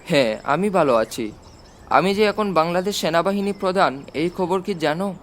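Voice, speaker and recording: Man playing army chief uncle, storybook, fatherly, confident, reflective, high male voice, slight background noise. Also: lively intonation, normal speed. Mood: fatherly, concerned. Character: army chief uncle, affectionate, confident, older than his listener. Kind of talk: storybook reading.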